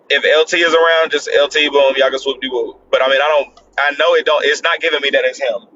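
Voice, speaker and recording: A man hosting a live stream, keeping order in a firm, steady way and repeating his phrases for emphasis. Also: mid-range voice. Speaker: host. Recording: dull lo-fi stream audio with faint noise.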